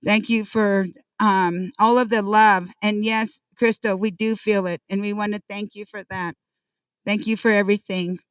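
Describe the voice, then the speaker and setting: A woman's voice: mid-range voice, steady tone, smooth, no room sound. Earnest woman addressing a ceremony. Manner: earnest, repetitive, halting. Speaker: ceremony speaker. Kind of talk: ceremonial speech. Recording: distant dry pickup.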